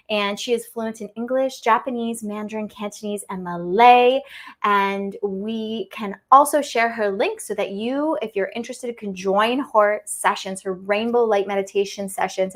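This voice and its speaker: A woman host with a fairly high voice reads out guest introductions. Her delivery is effusive and eager, piling on praise as she introduces the co-hosts. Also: fairly bright tone. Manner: enthusiastic, effusive.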